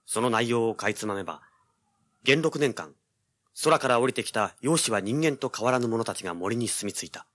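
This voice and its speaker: A man's voice: mid-range voice, expressive, moderate pace, a bit bright. An actor playing a brash, driven young male treasure hunter in an audio drama, with intense dramatic delivery. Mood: intent, dramatic. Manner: cocky, intense, rough casual speech. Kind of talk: dramatic first-person narration and dialogue, audio drama.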